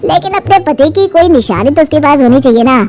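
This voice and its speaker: Woman voicing a high-pitched shrewd rich father. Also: lively intonation, brisk, darkish tone. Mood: stern, crafty. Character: shrewd middle-aged wealthy father, protective and unyielding. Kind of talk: animated storybook dialogue. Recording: music under the dialogue.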